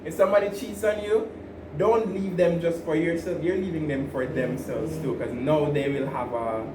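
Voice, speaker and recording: A man's voice: fairly high pitch, fairly even intonation, moderate pace. A man co-hosting a podcast, giving rambling, laughing advice in casual chat, with many restarts. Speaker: podcast co-host. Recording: roomy, distant mic with noise.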